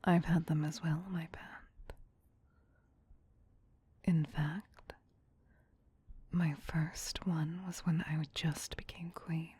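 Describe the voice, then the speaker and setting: A woman playing a possessive, teasing, soothing vampire queen in a whispered ASMR roleplay, breathy, hushed and doting yet domineering. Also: sits low, level tone, very slow, pauses often, heavily acted. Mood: gentle soothing.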